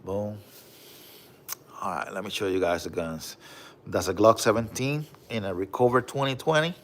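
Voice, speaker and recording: A man with a Puerto Rican accent hosting a casual livestream, reading viewer comments and showing off his collection, with a relaxed manner and frequent "bro" and "okay?". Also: fairly low pitch, moderate pace, a little hiss or hum. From Puerto Rico. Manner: relaxed, repeats "bro" and "okay?", many fillers.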